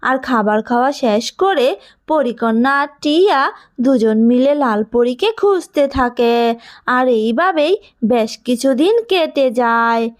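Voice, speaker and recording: A woman narrating a cartoon fairy tale in a steady, measured storytelling manner. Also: high-pitched.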